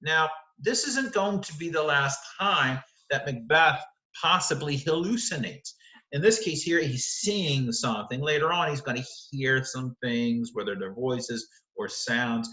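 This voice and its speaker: A man's voice: mid-range voice, expressive, unhurried, pushed and bright, reverberant, low-quality recording. A man teaching literature, walking through the text of a play and explaining it line by line, often correcting himself as he goes.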